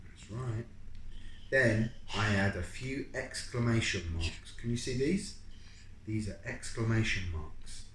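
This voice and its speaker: A parent reading a picture book aloud to a young child in an expressive, dramatic, coaxing way, often pausing to ask questions. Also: mid-range voice. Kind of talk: animated read-aloud storytime with questions to a child. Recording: echoey room with background noise.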